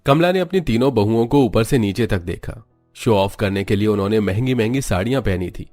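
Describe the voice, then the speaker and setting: Low-voiced male cartoon narrator. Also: lively intonation, a little fast, a little mellow, no echo, soft background music. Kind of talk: storytelling narration.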